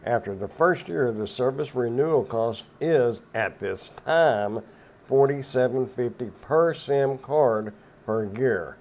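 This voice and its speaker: A man's voice: mid-range voice, slow, darkish tone. An older man reviewing a product hands-on in a casual, plain-spoken way, explaining things step by step.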